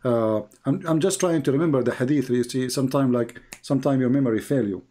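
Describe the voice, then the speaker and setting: A man's voice: quick pace, warm tone. A man whose first language is Arabic, hosting and debating a caller, emphatic and repeating phrases for stress. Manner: emphatic, rises high when pressing questions. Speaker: host.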